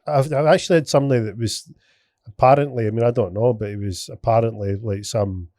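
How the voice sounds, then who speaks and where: A man's voice: medium pitch, steady tone, quick pace, darkish tone, no room sound, clean studio sound. A man with a Scottish accent hosting a casual podcast, rambling with lots of restarts, swearing and bantering. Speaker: podcast host.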